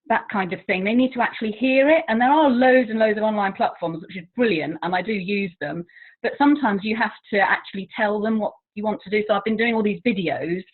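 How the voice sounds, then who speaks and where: An English woman who teaches languages chats freely as an interview guest and often laughs at herself. Her pitch sits in a middle range. The audio comes through a narrow, tinny line like a phone call. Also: slightly rough. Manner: chatty, self-deprecating, laughs often. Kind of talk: casual interview chat.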